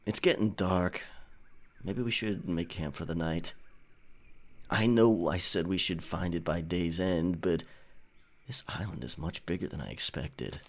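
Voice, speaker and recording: A man's voice: low-pitched, a little slow. A man playing a charming, flirtatious pirate captain in a romantic audio roleplay, teasing and tender as he speaks to his lover. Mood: soft, tender.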